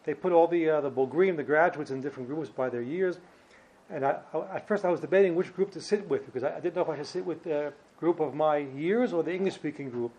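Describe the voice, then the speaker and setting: A man in his fifties with a New York accent, giving an informal personal talk as a guest speaker in a halting, slightly uneasy way. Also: fairly high pitch, a little mellow, rough recording. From New Jersey and Brooklyn, New York, United States. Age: 50s. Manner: hesitant, halting, slightly ill at ease. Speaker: guest speaker, former yeshiva student.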